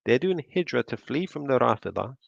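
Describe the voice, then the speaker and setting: A man's voice: mid-range voice, very animated, brisk, vocal fry, breathy edge, no echo. A man hosting a reaction show, commenting on a call with mocking, sarcastic asides. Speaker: host reacting to a recorded call. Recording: slightly dull lo-fi sound.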